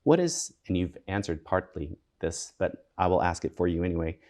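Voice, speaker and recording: A man's voice: low voice, sing-song, normal speed. A man hosting an interview, asking questions in a brief, encouraging way. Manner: brief and encouraging, quiet acknowledgments. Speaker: interviewer, show host.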